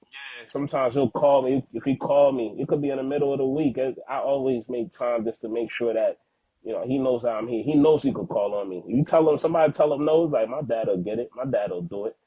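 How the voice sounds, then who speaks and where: A man's voice: mid-range voice, fairly quick. A young man of Haitian background whose native language is Haitian Creole, talking earnestly and slangily as a podcast guest and father in a casual interview. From Haitian background, living in the United States. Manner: earnest, slangy, repeats words for emphasis. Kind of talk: casual, heartfelt interview talk. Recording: phone-like narrow-band audio, dry.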